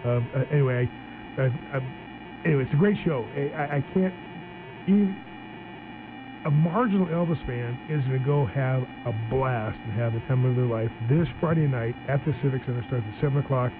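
A man's voice: medium pitch, fairly quick. A man hosting a casual radio interview, chatty and upbeat, often restarting his sentences. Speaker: radio talk show host. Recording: tinny phone-like broadcast with music.

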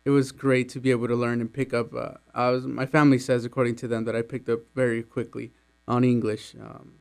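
A man's voice: mid-range voice, little pitch movement, brisk, vocal fry, clear, resonant tone. A young man from Mexico answering interview questions about his childhood, talking casually with many fillers and small laughs. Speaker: employee interviewed about his immigrant journey.

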